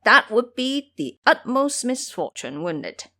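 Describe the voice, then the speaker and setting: A woman's voice: fairly high pitch, sing-song, unhurried, pushed and bright, full character voice. A woman voicing a foolish, lazy male pig monk in an audio drama, complaining and blustering. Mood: whiny, distressed.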